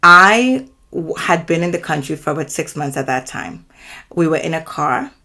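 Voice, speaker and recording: Low-voiced Trinidadian woman telling a story. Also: sing-song, sharp tone. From Trinidad and Tobago. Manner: measured, earnest, explanatory. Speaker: channel host. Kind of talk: personal storytelling to camera.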